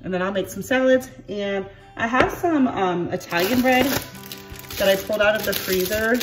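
A woman's voice: mid-range voice, lively intonation, moderate pace, recorded from a distance, music in the background, rough recording. A woman in her thirties, congested, chatting casually and self-deprecatingly as she cooks dinner at home. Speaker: home cooking vlogger. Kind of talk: casual chatty cooking narration. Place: home kitchen and outdoor patio.

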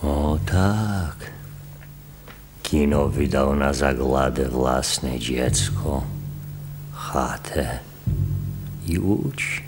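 A man's voice: extremely low pitch, drawn-out words, pauses often, over music, full character voice. An actor playing a mournful village chorus man in a radio drama. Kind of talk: radio play acting, solemn narration.

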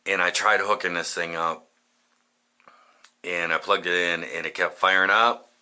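A man's voice: low voice, steady tone, a little slow, bright, forceful tone, clean tone. A man casually rambling in a home-renovation vlog, tired and achy, talking to a head-mounted camera in a small bathroom. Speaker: renovation vlogger.

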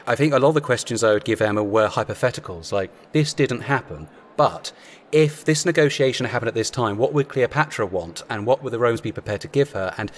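A man's voice: medium pitch, animated, brisk. A man chatting casually as a writer on a panel, explaining things in a rambling way. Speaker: audio drama writer.

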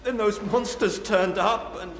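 A man playing a wounded, frightened research scientist in a video game cutscene, halting and anguished. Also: sits high. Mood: terrified, halting. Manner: halting, anguished, breaking into outbursts. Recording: echoey hall reverb, music behind.

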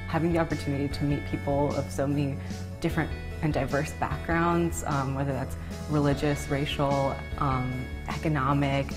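A woman gives an interview testimonial. Her voice is very low for a woman, with guitar music clearly playing behind her. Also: flat delivery, moderate pace. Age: 20s. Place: indoor room by large windows.

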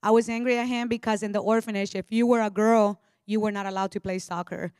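A woman's voice: mid-range voice, steady tone, quick pace, darkish tone, smooth, no room sound, clean studio sound. A young woman from Honduras with a Spanish accent, giving an earnest personal testimony on a church stage into a handheld mic. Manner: earnest, eager storytelling with casual fillers. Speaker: guest speaker.

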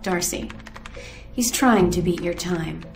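A woman's voice: fairly low pitch, slow, vocal fry, reverberant, music in the background. An actress playing a cool, wry young female weapons trainer in a video game, with a dry, unimpressed manner. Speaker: weapons instructor. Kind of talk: video game cutscene acting.

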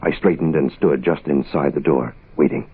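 An actor playing a terse private investigator, clipped and deadpan, in the style of 1960s radio noir. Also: male voice, a bass voice, normal speed. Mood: tense, deadpan. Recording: hissy, phone-like vintage radio recording.

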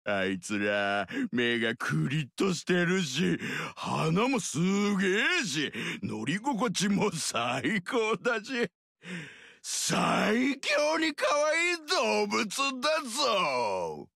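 An actor playing a simple, cheerful ox-headed demon from a video game, with a gravelly voice and a childlike, excitable way of talking. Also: male voice, sits high, extremely slow, no room sound, clean studio sound, full character voice. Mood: delighted. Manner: childlike, bouncy delivery.

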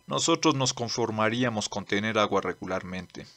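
A man playing a blunt, earnest old lawman in a manga dub, speaking formally and deliberately. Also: lowish voice, a bit bright. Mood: earnest, firm. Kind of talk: dramatic dubbing.

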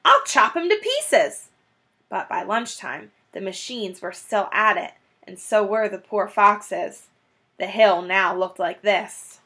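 A woman in her thirties reading a children's book aloud in an animated, dramatic way. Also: mid-range voice, takes it slowly. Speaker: children's story reader. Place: small indoor room, classroom-like decor.

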